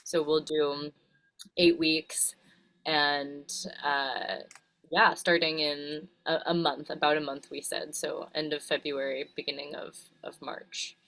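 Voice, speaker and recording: A woman's voice: sits low, little pitch movement, takes it slowly, vocal fry, a bit bright, low-quality recording. A woman trainer talking on a video call, thoughtful and trailing off mid-phrase. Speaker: trainer for mothers. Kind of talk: casual video-call interview.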